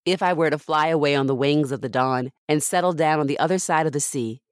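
A woman's voice: low-pitched, fairly quick, no echo, clean studio sound. A woman reading Bible passages aloud as a scripted devotional for a podcast.

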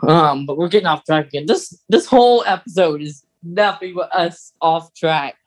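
Casual podcast co-host, mid-range pitch, conversational and rambling, often self-correcting. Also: moderate pace. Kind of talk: casual chat.

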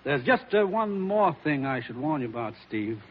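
An actor playing a grave, businesslike commissioner in a 1940s radio drama, speaking with an American accent in a measured, authoritative way. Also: male voice, on the high side, sing-song, long reverb tail, slight background noise. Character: grave, businesslike male commissioner briefing his agent. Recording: muffled 1940s radio recording.

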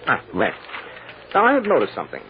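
A teasing american radio lawyer, kinda low voice, super animated, muffled noisy old recording. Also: male voice, fast. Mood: playful, teasing. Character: confident, playfully teasing defense lawyer with dry humor. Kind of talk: 1940s-50s American radio drama acting.

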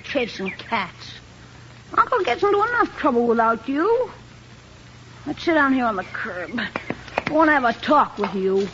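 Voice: mid-range voice, very animated, unhurried, long pauses. An adult performer voicing a cheeky adolescent boy nephew in a 1950s radio sitcom, eager and wheedling. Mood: scolding. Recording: muffled old radio with faint hiss.

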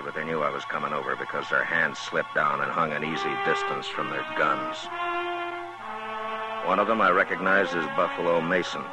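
An actor playing a stern, unflappable Western marshal in a radio drama, terse, dry and commanding. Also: male voice, a bass voice, low-quality recording. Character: stern, unflappable Old West town marshal, grown man. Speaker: lead actor and narrator. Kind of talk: 1950s radio western acting. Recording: old radio recording with music.

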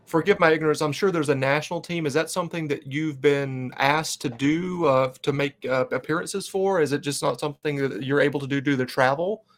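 A talkative host with a fairly high voice, running a casual remote interview. He sounds friendly and relaxed, with a lot of little fillers in his speech. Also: a little fast, hardly any pauses. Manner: upbeat, chatty, loose with fillers. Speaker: podcast host.

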